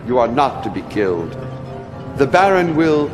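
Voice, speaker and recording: An actor playing a sly, haughty adviser to a villainous lord in a video game cutscene, speaking with deliberate, condescending menace. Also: male voice, mid-range voice, big pitch swings, lightly acted. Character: sly, condescending male mentat adviser, servile to his lord. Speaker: adviser briefing a new military commander. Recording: long reverb, music behind.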